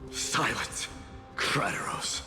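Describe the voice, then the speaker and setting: An actor playing a proud young warrior prince in a video game, forceful, indignant and dramatic. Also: male voice, slow, close to an everyday voice. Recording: long hall reverb under loud music.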